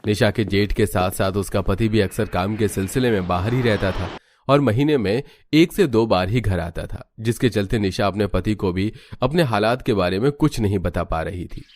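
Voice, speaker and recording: A man reading scripted narration for a Hindi animated story as its narrator. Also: lowish voice, normal speed.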